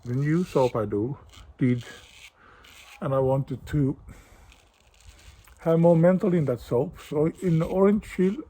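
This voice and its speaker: A man's voice: a little high, unhurried, pauses often, warm tone. A man with a Swedish accent, a native Swedish speaker, hosting a casual shaving vlog and now and then searching for English words. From Sweden. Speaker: shaving channel host.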